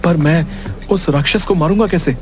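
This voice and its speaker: A man's voice: fairly high pitch, moderate pace, a little hiss or hum, lightly acted. A man voicing a naive, easily startled young hero in a cartoon story, with a wondering, exclaiming manner. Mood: curious. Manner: wondering, exclaiming, talks to himself. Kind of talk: Hindi cartoon story voice acting. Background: music.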